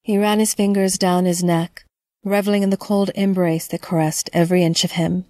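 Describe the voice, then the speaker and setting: Low-voiced woman narrating, very dry sound. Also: flat delivery, moderate pace, mellow, no echo. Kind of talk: scripted horror story narration.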